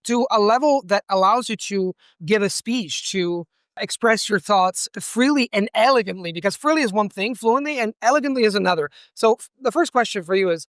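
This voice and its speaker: A man's voice: high-pitched, animated, fairly quick, hardly any pauses, fairly bright tone, dry recording. A man hosting an interview at an event, earnest and searching for words, with frequent restarts. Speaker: interviewer, language channel host. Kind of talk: conversational interview. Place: hall at a language event with background noise.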